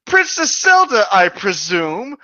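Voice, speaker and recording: A man's voice: sits high, big pitch swings, slow pace, pushed and bright, no echo, dull audio, pitched above the performer's own voice, heavily acted. A man voicing a grand, all-knowing male griffin lord with pompous, theatrical delivery in a comic story reading.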